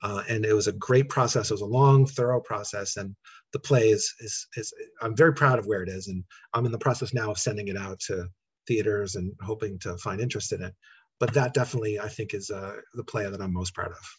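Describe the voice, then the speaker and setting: A middle-aged American man with a slightly husky voice, answering interview questions in a thoughtful, halting, conversational way. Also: on the low side. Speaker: playwright being interviewed. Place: living room at home, indoors. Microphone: computer mic on a video call.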